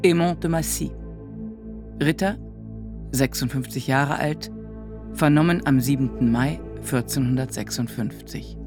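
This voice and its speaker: A narrator announcing a radio drama in a formal, measured, report-like way. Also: mid-range voice. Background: music.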